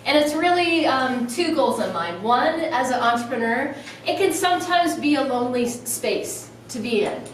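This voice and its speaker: A woman's voice: sits high, animated, moderate pace. A woman hosting a meetup gives a welcome speech and introduces a speaker, partly reading. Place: indoor meetup room. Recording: very echoey room, distant mic, faint noise.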